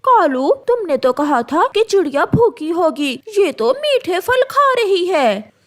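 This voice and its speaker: A woman's voice: very high voice, big pitch swings, takes it slowly, heavily acted. A woman voicing a greedy, suspicious female crow in a children's cartoon, with a nagging manner. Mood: sly. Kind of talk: Hindi children's cartoon voice acting.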